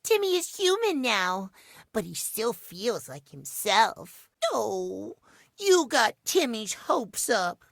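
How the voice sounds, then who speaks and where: A cutesy, childish clock boy from a video game, high in pitch and slow to get through his words. He is needy, pouty and playful, bouncing up and down in tone as he talks about himself in the third person. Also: sing-song, creaky, a bit bright, no echo, studio quality, full character voice. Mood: proud, tender. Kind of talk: animated video game character acting.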